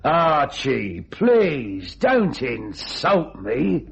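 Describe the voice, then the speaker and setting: A man's voice: mid-range voice, huge pitch swings, drawn-out words, gravelly, loud music behind the voice, full character voice. A man playing a sly, wheedling tailor turned blackmailer in an old-time radio thriller, with an insinuating, mock-innocent manner. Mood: smug, insinuating. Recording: dull vintage radio recording.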